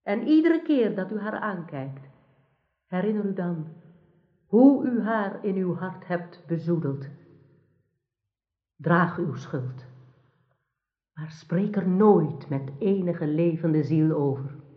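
An actress in a radio drama playing a wicked sorceress who poses as a calm holy mother confessor, solemn, measured and commanding. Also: fairly low pitch. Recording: dull, roomy radio play recording.

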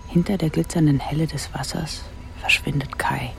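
An actress playing a restless young daughter in a radio drama, confiding her thoughts in a hushed voice that at times drops to a whisper. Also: deep voice, fairly even intonation, moderate pace, vocal fry, music in the background. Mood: wistful wonder. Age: young adult. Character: restless, searching young daughter leaving home, thinking aloud. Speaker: lead character and first-person narrator. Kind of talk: intimate radio drama monologue.

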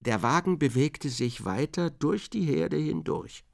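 A man with a creaky voice narrating a literary radio drama expressively, acting out the quoted speech. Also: on the high side, animated, a little slow, a little mellow.

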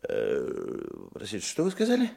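A man's voice: lowish voice, huge pitch swings, normal speed, gravelly, dark tone, full character voice. A man acting in a radio drama as a boastful, nervous womanising hotel guest, theatrical and effusive, with hesitations and stammers. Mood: nervous, evasive.

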